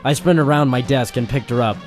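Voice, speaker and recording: An actor playing a hard-boiled, wry private eye in a noir radio play, with clipped storytelling. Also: male voice, mid-range voice, a little fast, close to an everyday voice. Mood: wry narrating. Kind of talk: noir radio-play detective narration and dialogue. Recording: music under the voice.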